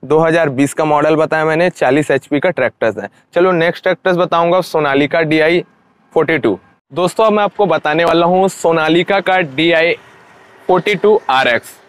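Higher-voiced young Indian man presenting. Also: a little fast, clear, resonant tone, no echo. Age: young adult. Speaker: vlogger presenting used tractors. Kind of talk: informal sales walkaround to camera. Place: outdoors at a roadside tractor dealership.

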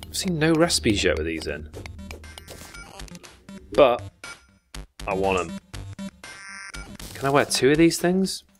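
A man's voice: mid-range voice, big pitch swings, slow, pauses often, faint music behind. A man from England giving rambling, casual commentary while playing a video game, swearing now and then. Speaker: gaming YouTuber. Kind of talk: casual let's play commentary.

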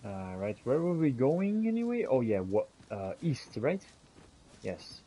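A man's voice: medium pitch, sing-song, measured pace, warm tone, slightly rough, low-quality recording. A man role-playing a musing, companionable fantasy adventurer in a video game, speaking in theatrical, archaic phrasing as he comments on his journey. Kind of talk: in-character gameplay commentary.

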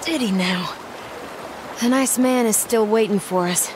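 A woman's voice: on the high side, unhurried, background noise, close to an everyday voice. An actress playing a dryly playful, self-assured frontier woman in a video game, arch and unruffled. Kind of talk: video game cutscene acting. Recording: farm animal ambience behind.

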